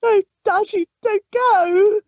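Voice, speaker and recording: A woman's voice: extremely high pitch, slow, a little mellow, dry recording, voice pushed up. A woman doing a bubbly, pleading girl pony. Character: bubbly young female pony, hurt and pleading. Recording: dull dry lo-fi stream audio.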